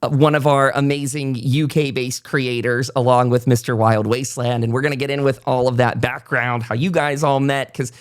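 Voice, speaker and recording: A man's voice: medium pitch, level tone, normal speed, hardly any pauses, clear, resonant tone. A man hosting a casual, unscripted podcast in a chatty, informal manner. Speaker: podcast host.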